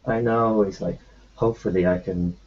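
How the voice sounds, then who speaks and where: A man roleplaying his character in a tabletop game, talking in a calm, reassuring, matter-of-fact way. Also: low voice, normal speed, muffled recording.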